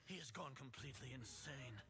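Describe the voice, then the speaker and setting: A man's voice: mid-range voice, expressive, fairly quick, vocal fry, pushed and bright. A man voicing a haughty older head sage, a scheming villain who speaks formally and theatrically in a video game. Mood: commanding, dismissive. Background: background music.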